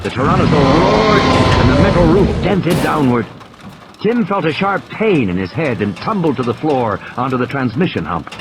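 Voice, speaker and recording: A man's voice: fairly high pitch, very animated, normal speed, heavy background noise. A man reading a thriller audiobook as a dramatic scripted narration. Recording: clear rain and storm effects behind. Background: rain, vehicle and ambience sound effects.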